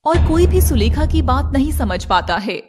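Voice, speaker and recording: A woman narrating a scripted animated fairy tale as storyteller, with noise behind her. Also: high-pitched, moderate pace, music in the background.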